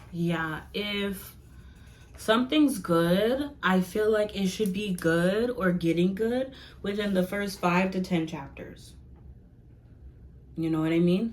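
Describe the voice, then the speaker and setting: A young woman chatting casually to her viewers in a rambling review, laughing often and filling pauses with "like" and "um". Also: mid-range voice. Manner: chatty, giggly, rambling with many fillers. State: losing her voice. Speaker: vlogger reviewing comics.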